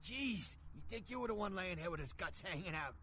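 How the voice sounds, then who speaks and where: An actor playing a wounded, whining, foul-mouthed vampire servant in a video game, strained and agitated. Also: male voice, sits high, expressive, brisk, bright, forceful tone. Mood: pained, indignant. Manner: agitated, cursing, pleading. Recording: clear background noise, game ambience.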